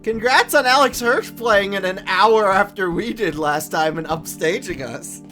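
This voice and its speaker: A woman's voice: mid-range voice, unhurried, a bit bright, soft background music. A woman hosting a casual live game stream and chatting with co-players and viewers. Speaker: livestream host.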